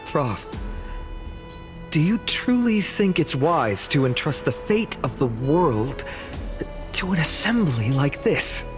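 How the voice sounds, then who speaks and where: A man's voice: fairly high pitch, sing-song, slow. An actor playing an earnest man in a video game, questioning his professor respectfully. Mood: earnest doubt. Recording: music behind the voice.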